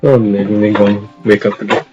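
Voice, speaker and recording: A man's voice: on the low side, flat delivery, normal speed, darkish tone. A man streaming a game, casually reading dialogue and commenting as he plays. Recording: dull lo-fi mic, game music behind.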